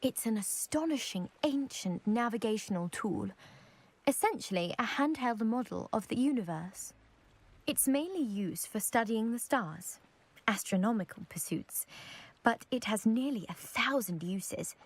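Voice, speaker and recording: A woman's voice: on the high side, expressive, measured pace. A woman playing a proud, eager young lady from a scholarly family in a video game, with a posh British accent and precise, self-assured delivery. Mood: eager, informative. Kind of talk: video game character acting.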